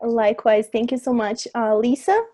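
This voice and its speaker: A woman's voice: a little high, fairly even intonation, normal speed, dark tone. A woman hosting a webinar on a video call, politely calling on each guest. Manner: polite, apologetic about names. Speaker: webinar host.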